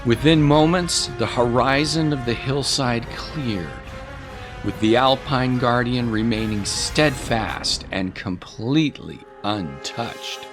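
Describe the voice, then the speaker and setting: A man narrating a dramatic story from a script in a solemn, deliberate manner. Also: mid-range voice. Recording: music behind the voice. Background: music.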